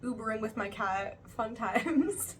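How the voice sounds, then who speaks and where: A woman's voice: a little high, takes it slowly. Chatty young woman, slightly distant mic. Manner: chatty, uses many fillers like "like" and "you know". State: slightly stuffy nose, still recovering. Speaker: vlogger. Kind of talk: casual monthly recap vlog. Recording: voice a bit far from the mic, faint background noise.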